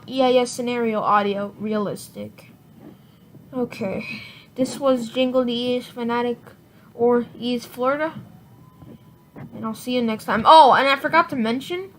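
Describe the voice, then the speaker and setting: A woman giving a casual, unscripted software tutorial in an informal, rambling way, often correcting herself. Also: fairly high pitch. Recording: noisy home recording with clicks.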